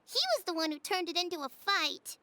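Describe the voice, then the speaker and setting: A woman's voice: very high voice, fairly quick, background noise. A woman voicing an earnest young village boy who is defensive but sincere, in a video game dub. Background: game music.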